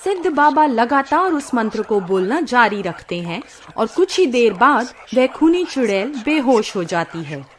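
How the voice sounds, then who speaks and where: A woman narrating a scripted bedtime moral story in an expressive, dramatic storytelling style. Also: a little high, measured pace, few pauses. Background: faint background music.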